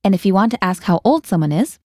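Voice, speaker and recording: A woman's voice: medium pitch, lively intonation, fast talker, a little mellow, no room sound, clean studio sound. A woman hosting a scripted language lesson, guiding the learner and translating each phrase into English.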